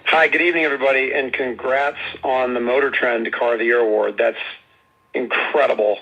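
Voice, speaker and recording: A man's voice: medium pitch, fairly even intonation, brisk, vocal fry, dull audio. A man calling in as a financial analyst, putting questions courteously and conversationally on an earnings call.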